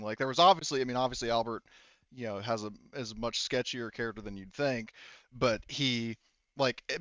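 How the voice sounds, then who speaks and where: A man's voice: medium pitch, fairly bright tone, dry recording, dull audio. A man casually chatting as a game master, explaining things in a rambling, conversational way. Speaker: game master of an online tabletop roleplaying group. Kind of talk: casual chat, post-game discussion.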